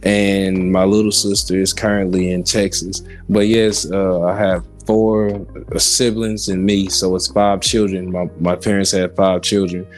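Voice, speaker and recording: A man's voice: low-pitched, little pitch movement, a little slow, hardly any pauses, soft background music. An American man in his forties from Kansas City, Missouri, giving personal testimony as a podcast guest. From Kansas City, Missouri, United States. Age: 40s.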